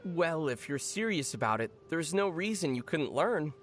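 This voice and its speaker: A young adult actor playing a calm, gently teasing traveler hero in scripted video game dialogue. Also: medium pitch, moderate pace, soft background music, close to an everyday voice. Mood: calm, informative.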